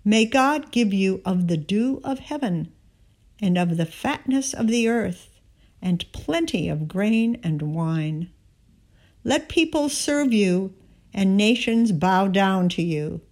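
A woman giving a scripted Bible reading, narrating and voicing the dialogue in deliberate, measured phrasing. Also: medium pitch, lively intonation, darkish tone. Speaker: scripture reader.

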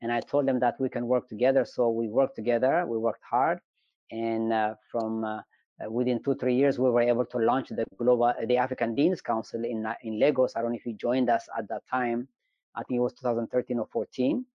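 A middle-aged man from Ethiopia speaks in a measured, storytelling way and pauses often on "uh". His pitch sits in the middle of the range. The audio is dry and slightly dull, like a remote call. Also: level tone, no room sound. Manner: measured, storytelling, frequent hesitations. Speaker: engineering professor, guest. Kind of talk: conversational interview.

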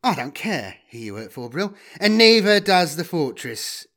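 A slippery male guide in an audiobook, voiced by a man narrator. He gloats with heavy emphasis and sounds easily rattled. His voice rides higher and louder than plain narration. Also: sing-song, unhurried. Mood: gloating. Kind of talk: audiobook character voice.

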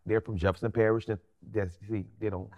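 Fast-talking New Orleans man. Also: lowish voice, fairly even intonation, darkish tone. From New Orleans, USA. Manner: blunt, slangy, emphatic with repeated phrases. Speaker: podcast guest. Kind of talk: casual, animated interview chat.

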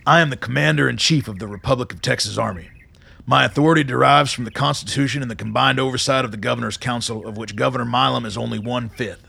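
An actor playing a hard-bitten, blunt, profane army colonel in a radio drama, speaking with gruff authority. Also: male voice, mid-range voice, fast, bright, forceful tone, dry recording, full character voice. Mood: stern, commanding.

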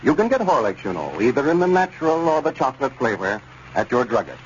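A slightly noisy, muffled 1930s radio recording of an American man announcing. He delivers scripted advertising copy with polished salesmanship and widely swinging intonation at a mid-range pitch. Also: very animated, fast talker.

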